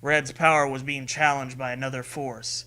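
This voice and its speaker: A man's voice: mid-range voice, level tone, normal speed, pushed and bright, soft background music. A man co-hosting a comedic read-along, sarcastic and foul-mouthed, switching between dramatic reading and mocking commentary.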